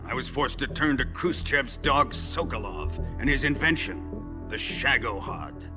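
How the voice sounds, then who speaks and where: An actor playing a menacing, grandiose middle-aged Soviet colonel in a video game cutscene, speaking in a pompous, deliberate, theatrical way over music. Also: male voice, medium pitch, sing-song. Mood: proud, scornful.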